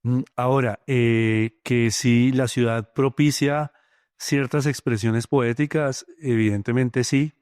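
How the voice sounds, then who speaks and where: A middle-aged poet from Colombia with a Bogotá accent, speaking thoughtfully in an interview, often pausing with fillers. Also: male voice, mid-range voice, steady tone, darkish tone, clear, resonant tone, no echo, clean studio sound. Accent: Bogotá Colombian Spanish. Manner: thoughtful, many hesitant fillers. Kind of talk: reflective interview talk.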